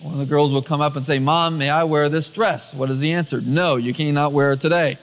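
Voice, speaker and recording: A man's voice: fairly high pitch, steady tone, brisk. A man preaching a storytelling sermon as a pastor, acting out dialogue in everyday colloquial words. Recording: long hall reverb, cassette tape transfer.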